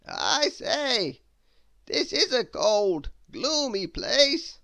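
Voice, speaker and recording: A reader voicing a wise old male wizard in a children's story, with grand, theatrical, drawn-out exclamations. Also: medium pitch, big pitch swings, gravelly, sharp tone, no room sound, voice pushed up, heavily acted. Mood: brisk, grand. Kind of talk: storybook read-aloud character voice.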